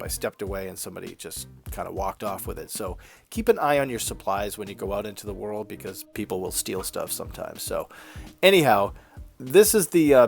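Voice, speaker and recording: A man hosting an art vlog in a casual, conversational and explanatory way, his voice congested and a little raspy from a head cold. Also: mid-range voice. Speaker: YouTube art channel host.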